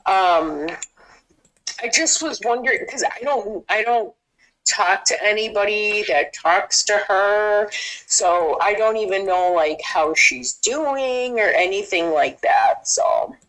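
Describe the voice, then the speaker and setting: Female caller, medium pitch, slow-paced, conversational, reflective and a little hesitant. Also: animated, a bit bright, poor audio. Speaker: caller receiving a reading. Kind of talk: casual personal conversation.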